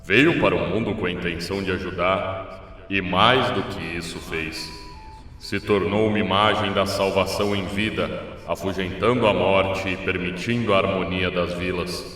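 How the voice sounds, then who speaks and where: Deus grave, lento e cavernoso. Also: male voice, sits low, fairly bright tone. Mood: solemn, commanding. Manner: solemn, slow, declamatory. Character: solemn, commanding male rain god of Aztec myth. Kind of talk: audio drama acting. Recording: distant voice in cavernous long reverb. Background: music.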